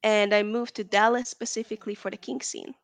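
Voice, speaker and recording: Woman with a gravelly voice. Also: mid-range voice, fairly even intonation, brisk, no echo. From Hungary. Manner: chatty, frequent "like" and "um". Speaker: interview guest. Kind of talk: casual interview chat.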